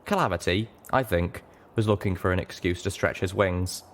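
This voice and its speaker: A man's voice: low voice, moderate pace. A man reading a scripted first-person story as an audiobook narrator.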